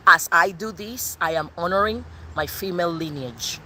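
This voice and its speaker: A woman's voice: on the low side, normal speed, bright, forceful tone, slight background noise. A woman tarot reader giving a casual spiritual reading, talking loosely and straight to the listener.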